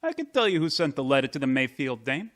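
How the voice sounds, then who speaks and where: Man playing a cocky streetwise tough-guy chauffeur, swooping pitch. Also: sing-song, fast, fairly bright tone. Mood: bargaining. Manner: streetwise wise-guy talk, cocky, ungrammatical. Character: brash, slangy male chauffeur and bodyguard, crafty small-time chiseler. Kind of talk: old-time radio mystery acting.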